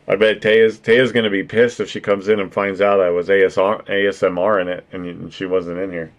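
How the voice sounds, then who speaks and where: Deep-voiced joking man streaming. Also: flat delivery, moderate pace, highs cut off. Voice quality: naturally deep voice. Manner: joking and profane, laughing often. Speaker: streamer and podcast host. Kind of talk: casual chat with a live stream audience.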